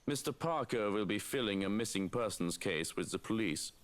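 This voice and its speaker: Man playing lively low-voiced security aide. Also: normal speed, lightly acted. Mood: serious. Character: dutiful male security aide, dryly cheeky.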